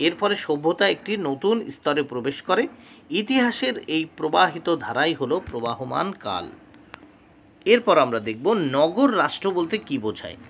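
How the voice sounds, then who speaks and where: A man's voice: a little high, very animated, moderate pace, creaky, fairly bright tone, slight background noise. A man tutoring for history exams, reading questions and model answers aloud in a didactic way, announcing each item and repeating key terms.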